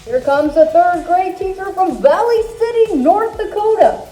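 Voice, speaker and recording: Woman playing a high-voiced, sly hungry wolf posing as granny. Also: expressive, unhurried, dark tone. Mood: wry. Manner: theatrical, wry, droll. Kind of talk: scripted fashion show commentary, fairy tale skit. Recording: roomy echo, music behind.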